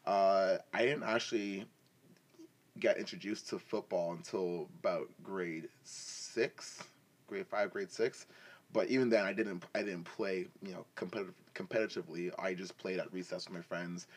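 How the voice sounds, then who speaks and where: A man's voice: deep voice, steady tone, normal speed. A man in his twenties from Africa and Canada, telling his story in casual, bantering, slangy conversation. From West and East Africa, then Canada. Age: 20s. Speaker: football player telling their story as a podcast guest. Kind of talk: casual chat, personal storytelling.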